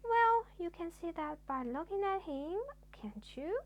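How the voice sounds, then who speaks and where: A woman storyteller reading a children's bedtime story aloud in an expressive way. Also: very high-pitched, a little slow.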